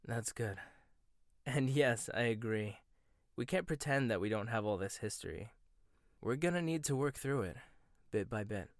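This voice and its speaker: A young man voicing a proud, sharp-tongued male chef in a roleplay audio, speaking close to the mic. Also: medium pitch, lively intonation, moderate pace, no room sound, natural voice. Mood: soft, sincere. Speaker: voice actor. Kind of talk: boyfriend ASMR roleplay.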